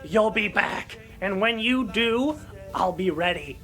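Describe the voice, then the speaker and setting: A woman's voice: medium pitch, animated, slow, a bit bright. A woman playing a pompous, pedantic male collectibles seller who is grudging and indignant, in a comedic audio drama.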